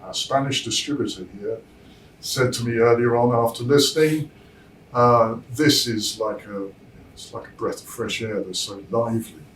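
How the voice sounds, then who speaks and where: A man giving an informal product presentation as a designer, explaining in a measured way and pausing mid-thought. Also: mid-range voice, fairly even intonation, fairly bright tone. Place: demo room at a hi-fi show, indoors. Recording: roomy, distant mic, noisy show room.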